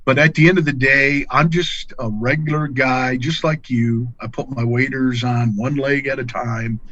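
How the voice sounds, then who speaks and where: A middle-aged man chats as a podcast guest in a folksy, plain-spoken style. His pitch is average. The sound is dry and a little dull, like lo-fi call audio. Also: steady tone, moderate pace, fairly bright tone, dry recording. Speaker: podcast guest, angler and fishing pro staffer. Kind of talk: casual conversational storytelling.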